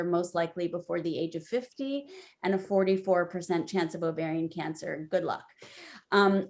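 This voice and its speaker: Candid woman telling her own story. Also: on the low side. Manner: candid, conversational, animated when quoting herself. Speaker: panelist. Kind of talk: telling a personal story in a webinar. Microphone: video call.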